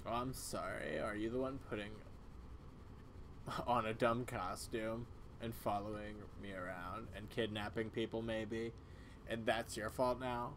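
A man voicing a protective, gently exasperated animal friend in casual video game dialogue. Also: on the low side, fairly even intonation, measured pace. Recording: clearly audible background noise.

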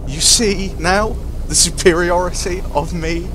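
A woman's voice: on the low side, measured pace, noisy background, close to an everyday voice. A woman voicing a spiteful, boastful little male tender engine with a sneering manner. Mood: gloating.

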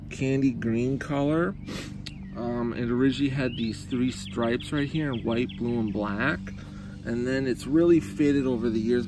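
Gravelly young man chatting casually outdoors. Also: medium pitch. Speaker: vlogger reviewing vintage bikes. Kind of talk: casual unscripted review to camera. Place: outdoors, in a yard and driveway. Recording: outdoor handheld recording with ambient noise.

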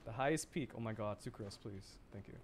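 A man's voice: fairly low pitch, brisk, background noise. A young man, chatting casually and playfully in a rambling way as he livestreams a game. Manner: playful, rambling, laughs often. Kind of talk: casual gaming livestream chat.

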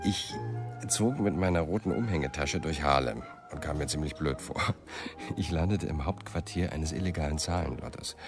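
A man's voice: very deep voice, moderate pace, over music. An actor playing a brooding, self-doubting male writer and storyteller in a German radio play. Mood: wry, reflective.

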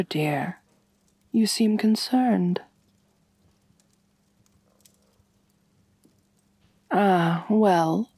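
Woman playing a soft, breathy, low-voiced vampire mistress. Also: no room sound. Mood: tender, doting. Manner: genteel, measured, doting, soft-dominant. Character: ancient wealthy vampire mistress, poised, tender and possessive. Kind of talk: intimate ASMR roleplay.

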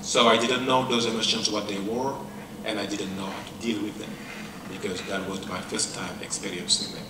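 A middle-aged man from Senegal, whose first language is not English, gives a personal lecture in a large hall, speaking in a measured, reflective way with many pauses. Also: on the low side, flat delivery, distant mic. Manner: reflective, measured, pausing often. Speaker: professor giving a lecture. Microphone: handheld mic, far camera. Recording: echoey hall mic with room noise.

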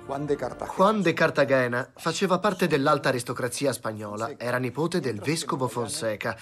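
Calm man explaining history. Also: medium pitch, moderate pace, soft background music. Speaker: interviewed historian. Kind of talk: dubbed interview voice-over.

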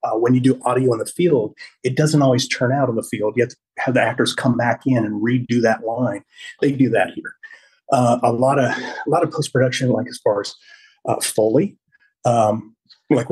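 A man in his fifties giving an enthusiastic, casual walking tour over a phone video call, speaking in a halting way. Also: mid-range voice, a little mellow, husky, low-quality recording. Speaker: podcast host giving a studio tour. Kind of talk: casual chat, walk-through tour. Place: indoors, walking through studio offices and hallways. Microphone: phone held at arm's length on video call.

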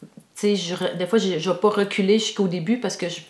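A woman's voice: mid-range voice, steady tone, fast, a little mellow. A middle-aged woman with a Québécois French accent, chatting casually as a podcast co-host. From Quebec, Canada. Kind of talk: casual chat. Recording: roomy, echoey indoor sound.

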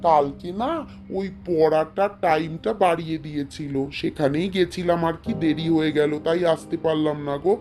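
A man's voice: a little high, fairly even intonation, normal speed, a little mellow, soft background music, close to an everyday voice. A man voicing a chatty, anxious neighbour girl in a cartoon, eager and full of questions. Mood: friendly.

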